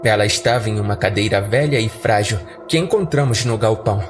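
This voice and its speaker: Narrador brasileiro de terror, música ao fundo. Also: male voice, on the low side, brisk, music in the background. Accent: Brazilian. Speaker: horror podcast narrator. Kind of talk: scripted first-person horror story narration.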